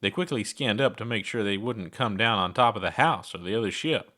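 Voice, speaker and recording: A man's voice: lowish voice, brisk, pushed and bright. A man narrating a scripted fiction story as its reader.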